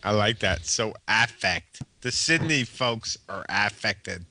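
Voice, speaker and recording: A man's voice: fairly low pitch, lively intonation, slow, sharp tone, slight background noise. A man with a New York accent hosting a casual chat, talking in an emphatic, playful way. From US East Coast. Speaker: host interviewing a guest. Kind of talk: casual banter.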